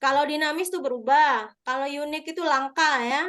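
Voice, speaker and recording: A woman's voice: high voice, moderate pace, fairly bright tone. A woman from Madura teaching an online review session, explaining patiently and checking answers with the participants. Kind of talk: online exam review lesson.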